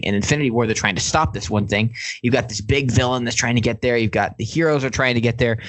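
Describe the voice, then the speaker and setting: A man's voice: medium pitch, poor audio. A man co-hosting a podcast, giving his opinions casually in an earnest, emphatic way. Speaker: podcast co-host.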